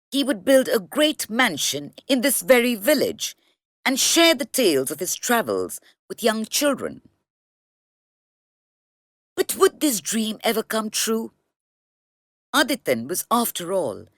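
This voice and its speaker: A woman reads a children's story aloud as narrator. Her delivery is slow and deliberate, with extremely animated pitch, and the recording is close and dry. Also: no room sound.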